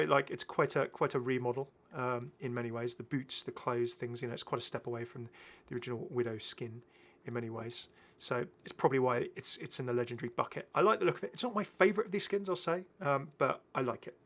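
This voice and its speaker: A man's voice: mid-range voice, animated, brisk, fairly bright tone. A middle-aged man giving enthusiastic, casual, chatty commentary as a gaming video host, often repeating his phrases. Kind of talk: casual game skin showcase commentary.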